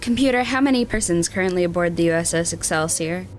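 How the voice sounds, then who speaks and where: A woman playing a matter-of-fact starship doctor in a science fiction audio drama, explaining things in a measured, clinical way. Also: fairly low pitch, soft background music, natural voice.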